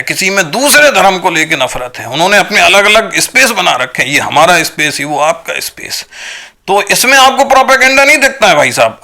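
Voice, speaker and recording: An Indian man delivers an argumentative commentary straight to his viewers. His voice sits high for a man, with a sharp, forceful edge and a gravelly creak. He presses his points through repeated rhetorical questions, often checking that listeners follow. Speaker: video commentator. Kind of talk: opinionated monologue to viewers.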